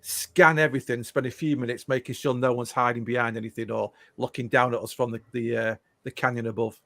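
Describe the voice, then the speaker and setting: A man playing a tabletop game, talking casually and deliberately as he plans aloud, often repeating phrases. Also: mid-range voice, level tone, pushed and bright, no echo. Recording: clear background noise, clicks.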